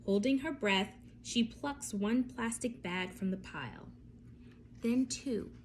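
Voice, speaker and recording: A woman reading a picture book aloud to young children, telling it expressively with deliberate phrasing and dramatic pauses. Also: on the high side, a little hiss or hum.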